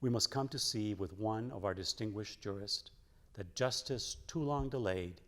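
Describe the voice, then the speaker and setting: Low-voiced man reading in an echoing hall. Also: normal speed, fairly bright tone. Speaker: church member reading quotes aloud. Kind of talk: scripted reading of a historic letter. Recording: echoing hall with long reverb.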